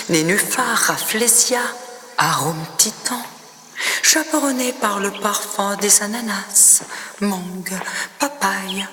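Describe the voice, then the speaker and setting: A woman poet reciting her own poem expressively, in measured phrases with breathy, hushed endings. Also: medium pitch, slight background noise. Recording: long reverb, noticeable background ambience.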